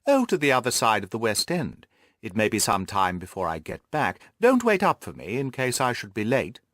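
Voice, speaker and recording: A man's voice: mid-range voice, wildly swooping pitch, normal speed, a bit bright, dry recording. Man playing confident male detective, audiobook dialogue, very varied intonation, precise, courteous, dry studio sound. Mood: keen, casual. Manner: precise, courteous, self-assured.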